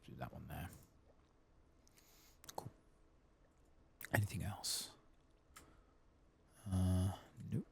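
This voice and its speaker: A man giving soft-spoken, sometimes whispered ASMR gameplay commentary, murmuring and musing as if to himself. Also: deep voice, very slow, creaky, pauses often, slight background noise. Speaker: game streamer.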